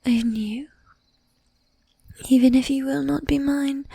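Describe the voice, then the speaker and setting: Woman playing a breathy, slow-whispering seductive vampire lady. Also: high voice, dark tone, no echo, full character voice. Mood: intrigued, teasing. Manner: slow, teasing, commanding, with archaic polite phrasing. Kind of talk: whispered ASMR roleplay.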